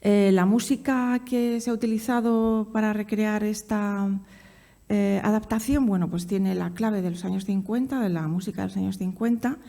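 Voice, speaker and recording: Woman doing an academic talk, dark soft tone w/ some fry, keeps pausing to find words. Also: mid-range voice, steady tone. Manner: halting, searching for words. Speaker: academic presenter at a film conference. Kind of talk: conference presentation, semi-improvised.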